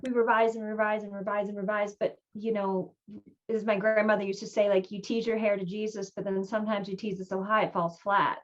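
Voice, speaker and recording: A woman's voice: medium pitch, level tone, brisk. Woman poet talking, slightly muffled call audio. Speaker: poet on a panel. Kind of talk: casual panel discussion. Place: remote video call. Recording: dull, lo-fi video call audio.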